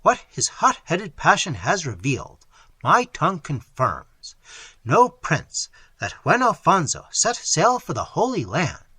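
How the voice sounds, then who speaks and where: A male reader voicing a grave friar who speaks in a measured, solemn and commanding way. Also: fairly high pitch, huge pitch swings, pushed and bright, husky, no room sound, heavily acted. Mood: stern.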